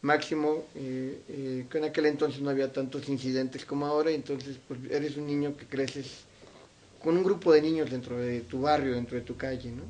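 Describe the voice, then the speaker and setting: A man's voice: mid-range voice, steady tone, normal speed, a little mellow, slight background noise, low-quality recording. A middle-aged man with a central-northern Mexican accent, answering as an interview guest in casual conversational recollection. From Zacatecas, Mexico. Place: his own home, indoors.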